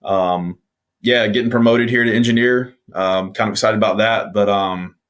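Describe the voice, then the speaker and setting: Male firefighter guest, lowish voice, halting delivery full of ums. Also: steady tone, fairly bright tone. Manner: hesitant, many ums. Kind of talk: casual interview.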